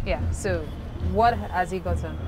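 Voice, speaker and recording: A woman hosting a breakfast TV show, chatty and quick to be impressed. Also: on the low side, music in the background. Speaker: morning TV show host. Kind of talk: breakfast TV studio chat.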